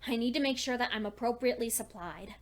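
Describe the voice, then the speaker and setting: A lonely, studious pony mare acted by a woman dictates her journal in a high, feminine voice. She is anxious yet clinging to hope, speaking earnestly and deliberately, with dramatic pauses suited to a dark fan fiction reading. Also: natural voice. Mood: weary, uneasy.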